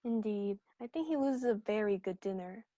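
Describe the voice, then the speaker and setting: A woman's voice: medium pitch, expressive, normal speed, mellow, dull audio, lightly acted. A young woman acting an outspoken, skeptical party guest in a radio play. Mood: disapproving.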